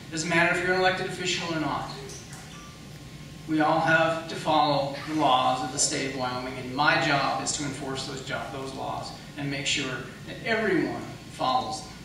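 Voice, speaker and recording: A man's voice: medium pitch, steady tone, moderate pace. A man running for office, giving emphatic, insistent answers in a campaign debate. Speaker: political candidate, incumbent county attorney. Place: public debate in an echoey indoor room, mic at a distance. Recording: echoey room, distant mic, faint noise.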